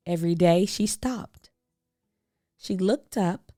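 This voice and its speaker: A woman reading a children's storybook aloud, expressively, with deliberate phrasing and dramatic pauses. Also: fairly low pitch, mellow, no echo, clean studio sound. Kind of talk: expressive picture-book read-aloud.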